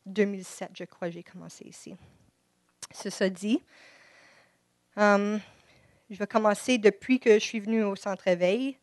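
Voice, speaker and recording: Quebecoise woman, English first language, nervous church testimony, mid-pitched, dry close sound. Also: steady tone, dry recording. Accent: Quebec French. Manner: halting, shy, many restarts. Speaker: church member giving testimony. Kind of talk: personal faith testimony. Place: church service. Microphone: microphone before an audience.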